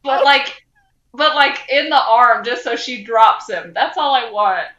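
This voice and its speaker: A woman's voice: on the high side, a little slow, bright, forceful tone. A woman playing a tabletop game, chatting casually and hesitantly, with some swearing. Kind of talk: casual chat during play. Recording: roomy, slightly dull sound.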